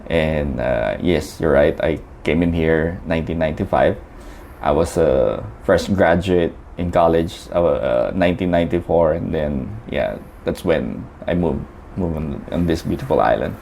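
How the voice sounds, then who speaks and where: A man from the Philippines speaks in a very low voice, telling his story as an interview guest. He talks chattily, with many fillers and restarts. Faint noise sits behind him. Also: a little slow, gravelly, a little mellow.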